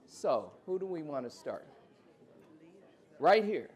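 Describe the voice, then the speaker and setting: A man's voice: on the high side, very animated, slow, darkish tone. Informal man moderating a gathering. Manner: informal, direct, calls on tables. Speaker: event moderator. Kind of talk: casual moderating.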